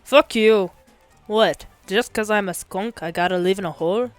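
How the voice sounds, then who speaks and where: A woman's voice: lowish voice, unhurried, fairly bright tone, clean tone, no room sound, faint music behind. Casting: indignant talking skunk, male, played by a woman, thick Boston accent, brash, combative, swears freely, audio story. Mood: indignant, loud.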